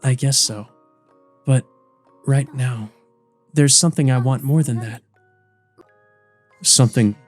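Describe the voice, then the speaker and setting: A man voicing a dying, tender and weary ancient vampire woman from a visual novel, speaking faintly and haltingly. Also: mid-range voice, faint music behind. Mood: feeble, wistful. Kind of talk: visual novel character reading.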